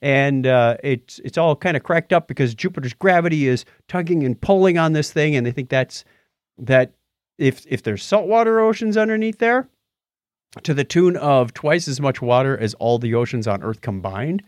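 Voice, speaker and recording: A man's voice: medium pitch, lively intonation, moderate pace, dry recording, clean studio sound. A man co-hosting a casual show, bantering in a jokey, chatty way through news items and announcements. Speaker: podcast co-host.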